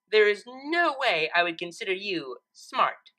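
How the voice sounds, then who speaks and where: A woman's voice: medium pitch, sing-song, unhurried, bright, forceful tone, rough recording. A woman voicing an exasperated, condescending female alicorn pony in a storybook reading. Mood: dismissive, smug. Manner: indignant, condescending.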